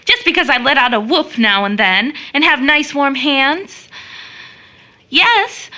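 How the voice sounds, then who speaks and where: A young woman in an audio drama, high-strung and full of affection for children. Her voice is fairly high and very bright, with big lively swings in pitch. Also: slow, exaggerated voice. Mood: giddy, nervy. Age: young adult.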